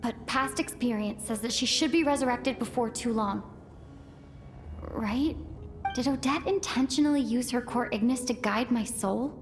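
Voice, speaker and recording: A woman's voice: on the high side, moderate pace, lightly acted. A woman playing a determined, caring young heroine in a video game, anxious and earnestly thinking aloud to herself. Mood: worried, reflective. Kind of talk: video game cutscene voice acting. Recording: loud music behind the voice. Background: loud game music.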